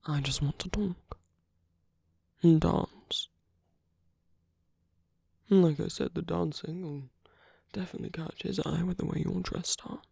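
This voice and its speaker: A seductive, scornful villain acted by a man murmurs in an intimate ASMR romance roleplay. The delivery is slow and deliberate, teasing, often close to a whisper. The voice is dark, mellow and very breathy, recorded close and dry. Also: fairly high pitch, fairly even intonation, no echo, full character voice. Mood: seductive, persuasive. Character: seductive, contemptuous villain, suave male romantic rival.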